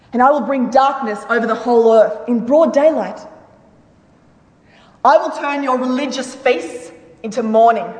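A woman giving a solemn, declamatory dramatic reading of scripture with emphatic delivery. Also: fairly high pitch. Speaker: reader in a church dramatic reading. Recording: echoey hall with long reverb.

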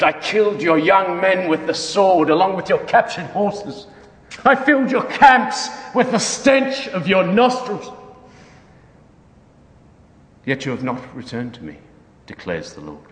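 Man performing stern God's prophet in echoing hall. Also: on the high side. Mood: wrathful, grave. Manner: solemn, declamatory, emphatic. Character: stern, wrathful God of Israel speaking through a prophet. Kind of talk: dramatic scripture reading. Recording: echoey hall with long reverb.

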